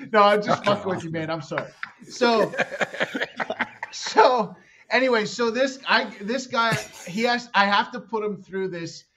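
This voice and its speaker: A man's voice: high-pitched, a little slow, pauses often, bright, forceful tone, slight background noise. A man in his thirties, a comedian guest telling a long story on a podcast, rambling and swearing and cutting himself off mid-sentence. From Canada. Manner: rambling, profane, self-interrupting storyteller.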